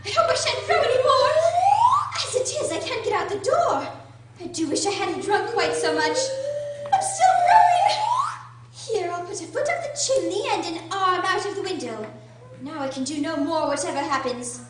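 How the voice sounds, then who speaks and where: A woman playing a curious, polite young girl in a radio drama, earnest and expressive. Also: extremely high pitch, moderate pace, very noisy. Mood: curious, fretful. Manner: earnest, expressive, talking to herself. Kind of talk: theatrical radio drama acting. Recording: echoey room from far off.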